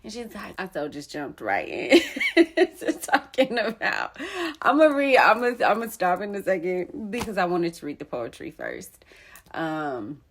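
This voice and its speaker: A woman's voice: fairly low pitch, big pitch swings, measured pace. A young woman telling a personal story in a candid, rambling way, full of "like" and "um". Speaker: poet and storyteller vlogger. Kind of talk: casual storytelling monologue.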